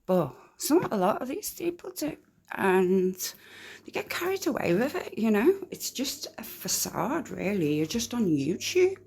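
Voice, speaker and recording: Slow, rambling woman with a light Liverpool accent. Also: fairly high pitch, sing-song, vocal fry, darkish tone, husky. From near Liverpool, UK. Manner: rambling, hesitant, candid. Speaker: true crime commentator. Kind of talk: casual commentary.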